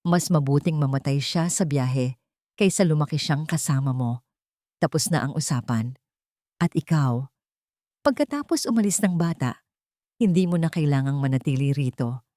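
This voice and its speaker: A woman's voice: deep voice, animated, moderate pace, pauses often, mellow, dry recording, clean studio sound. Woman playing a cruel, imperious old matriarch. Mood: cold menace. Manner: commanding, cold, menacing.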